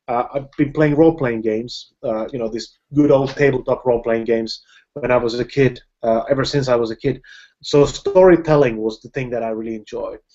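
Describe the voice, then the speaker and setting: A man's voice: mid-range voice, mellow, slight background noise. Man chatting over a dull video call. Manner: halting, many restarts, laughs easily. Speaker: film director hosting a live video hangout. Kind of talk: casual video-call chat.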